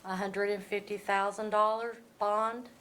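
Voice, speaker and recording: A woman's voice: mid-range voice, little pitch movement, measured pace. A woman speaking as a judge, formally reading out rights and charges in a persistent, procedural way. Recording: noisy courtroom recording.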